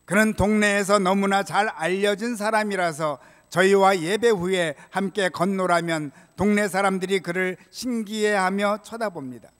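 An older man preaching a prepared sermon as a pastor, in a measured, solemn way, from a church pulpit microphone. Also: high voice, fairly even intonation, fairly bright tone. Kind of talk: sermon, read from prepared text. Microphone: gooseneck pulpit microphone. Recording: reverberant church hall sound.

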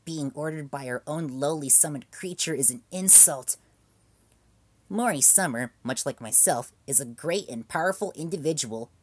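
A man's voice: on the high side, moderate pace, slightly rough, no echo. A man voicing a brash schoolgirl who speaks in grand, haughty declarations. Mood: grandiose. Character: brash schoolgirl posing as a dark servant.

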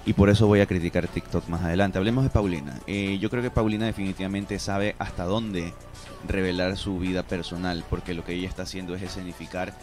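Low-voiced Ecuadorian man over music. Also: steady tone, fast talker, a little mellow. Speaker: radio show guest. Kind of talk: opinionated conversational radio discussion. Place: small radio studio. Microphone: close studio microphone. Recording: music playing behind the voice.

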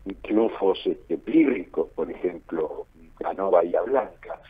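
Argentine male pundit, deep and rough-edged, emphatic repetition, narrow telephone-quality sound. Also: expressive, measured pace. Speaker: political analyst being interviewed. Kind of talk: radio interview analysis. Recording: narrow phone-like line, muffled.

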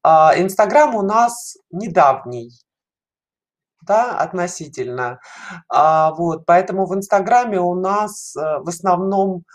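Middle-aged woman, halting, explanatory talk. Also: fairly low pitch, animated. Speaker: caller to an online show, head of a children's animation studio. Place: small dim room indoors, video call. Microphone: headset on a video call.

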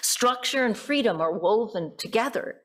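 American woman preaching in measured phrases. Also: fairly high pitch, expressive, a bit bright. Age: middle-aged. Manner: deliberate, phrase-by-phrase delivery with rhetorical questions. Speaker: pastor preaching. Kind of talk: sermon, reflective with questions to congregation. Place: church sanctuary, at the pulpit. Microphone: gooseneck pulpit microphone.

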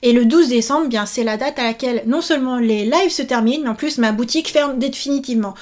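A woman in her thirties chatting casually to camera in an animated, exclamatory and enthusiastic manner. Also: a little high, quick pace. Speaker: author and YouTuber promoting her book. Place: small indoor room set up for filming.

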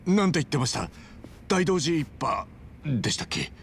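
A man's voice: a little high, sing-song, moderate pace, a little hiss or hum. An actor playing a brash, good-hearted middle-aged hero in a video game, blunt and colloquial in his talk. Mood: earnest, pensive. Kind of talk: Japanese video game dub acting.

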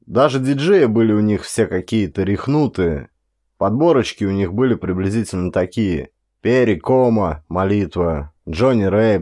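A man's voice: low voice, darkish tone. A middle-aged man reading a short story as an audiobook narrator, in a dramatic scripted style.

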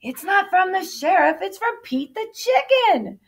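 A woman's voice: very high-pitched, big pitch swings, unhurried, voice pushed up, exaggerated voice. A woman voicing a bold female turkey from a storybook, urgent and emphatic. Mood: excited. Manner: insistent, emphatic.

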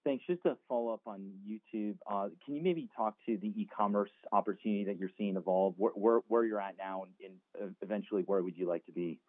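A man's voice: lowish voice, brisk, few pauses. A man, an analyst, asking questions on a business earnings call. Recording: tinny radio-like phone line.

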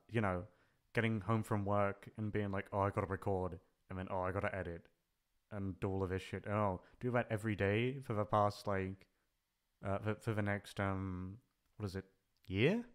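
Low-voiced man rambling casually, smooth tone. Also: fairly even intonation, moderate pace. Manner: rambling, hesitant, self-deprecating, thinking aloud. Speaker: audio channel creator, voice actor. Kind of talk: unscripted casual farewell vlog.

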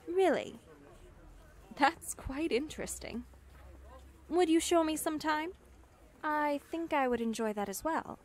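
A woman playing a high-voiced, unhurried confident young witch. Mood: warm, playful. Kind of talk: ASMR roleplay acting.

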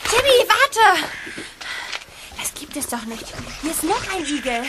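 A woman's voice: very high voice, sing-song, measured pace, noisy background, full character voice. A woman playing a determined, bossy tomboy girl in a children's adventure audio drama. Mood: urgent, suspicious. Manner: decisive, insistent.

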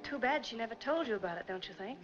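An American actress playing a poised, defensive young wife in a 1940s film noir. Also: female voice, fairly high pitch, animated, quick pace, close to an everyday voice. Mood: probing, concerned. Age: young adult. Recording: dull 1940s film soundtrack with music.

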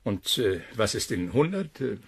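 Glib scientist, swooping delivery. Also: male voice, lowish voice, very animated, moderate pace, vocal fry, husky, exaggerated voice. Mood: sardonic, playful. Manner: jovial, mocking flourishes. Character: glib, sardonic, theatrical male scientist. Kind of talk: German sci-fi radio drama acting.

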